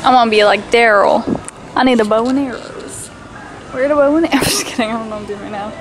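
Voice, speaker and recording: A woman's voice: fairly high pitch, quick pace. A tired woman chatting casually to her vlog viewers. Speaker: vlogger. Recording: clear car and street noise.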